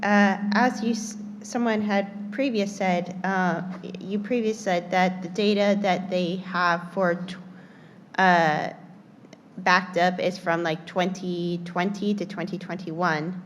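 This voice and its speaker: A woman in the workshop audience asking a question, halting and hesitant with many fillers and restarts. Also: on the low side, fairly even intonation, in a large hall, a little hiss or hum. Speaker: workshop participant.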